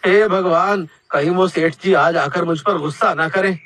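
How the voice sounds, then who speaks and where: A man's voice: high voice, normal speed, fairly bright tone, slight background noise. A man playing a humble, polite and earnest samosa seller in a cartoon moral story. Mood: worried. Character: humble, hardworking male samosa seller and his customer. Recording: faint background music under the voice.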